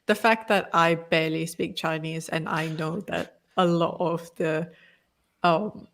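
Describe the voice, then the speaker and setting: A woman's voice: deep voice, steady tone, measured pace, gravelly. A woman who speaks Malay as a first language, co-hosting a podcast in a casual, opinionated chat full of restarts. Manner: talkative, opinionated, many restarts. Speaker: podcast co-host.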